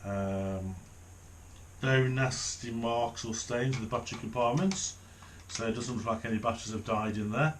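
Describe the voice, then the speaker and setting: A middle-aged man giving casual unboxing commentary, thinking aloud in an unhurried way as he handles things at a desk in a small room. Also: medium pitch, slight background noise. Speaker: hobbyist reviewer. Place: small home office, indoors.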